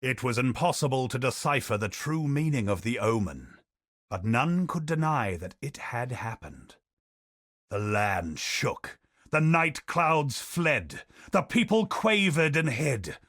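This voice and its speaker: An actor playing a solemn, learned male expedition captain in a video game, speaking in measured, thoughtful lines. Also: medium pitch, big pitch swings, fairly bright tone, dry recording, studio quality. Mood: steady. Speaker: game character.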